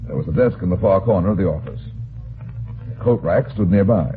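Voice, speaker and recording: An actor playing a wry, blunt private detective in an old radio drama, with a terse, tough, sardonic delivery. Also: male voice, deep voice, lively intonation, fairly quick. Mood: wry narrating. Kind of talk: old-time radio detective narration and dialogue. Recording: thin, phone-like vintage radio recording.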